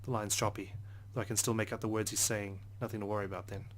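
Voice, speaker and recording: A man narrates a game story aloud in a low, flat voice at a quick pace. He reads earnestly, stumbling now and then, with some background noise. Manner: earnest, occasional stumbles while reading. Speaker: let's play host reading a visual novel aloud. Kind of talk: narration read aloud in first person.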